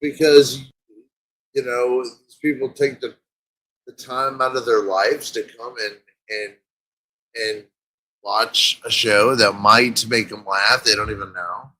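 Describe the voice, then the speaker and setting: A man's voice: mid-range voice, fairly even intonation, takes it slowly, pushed and bright, poor audio. A man hosting his own show, chatting casually in a rambling, laid-back way. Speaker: show host. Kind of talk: casual rambling stream talk.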